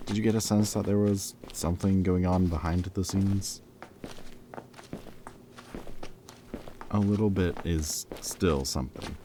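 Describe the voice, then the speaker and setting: Very low-voiced wry reformed villain acted by a man. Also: noisy background. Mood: concerned, serious. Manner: casual, wry, hesitant and bantering. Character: former villain, playful and loyal friend to a hero. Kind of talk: audio roleplay acting, casual one-sided conversation.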